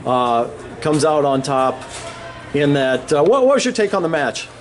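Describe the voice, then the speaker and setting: A man's voice: on the high side, big pitch swings, measured pace. A man interviewing a coach at a wrestling tournament, conversational and restarting his questions. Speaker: wrestling reporter. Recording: loud arena crowd behind. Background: crowd noise.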